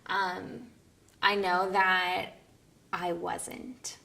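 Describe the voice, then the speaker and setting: A woman's voice: lowish voice, flat delivery, very slow, creaky, bright, forceful tone. A woman from Minnesota giving a documentary interview in a thoughtful, hesitant way.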